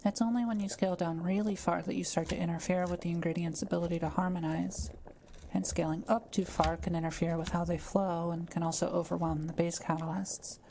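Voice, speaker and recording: A woman playing a kind, quiet witch in an audio roleplay, speaking gently and carefully as she reassures and explains things to the listener. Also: lowish voice, flat delivery, a little fast, vocal fry, background noise. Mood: patient, explaining. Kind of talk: soft-spoken roleplay audio, talking to the listener.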